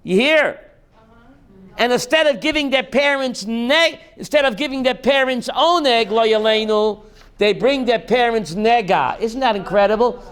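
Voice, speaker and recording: A rabbi teaching a class, reading text slowly and then explaining it in while quizzing his students. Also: very high-pitched, a bit bright, clean tone. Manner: reads Hebrew text aloud slowly, then explains in English, quizzing listeners.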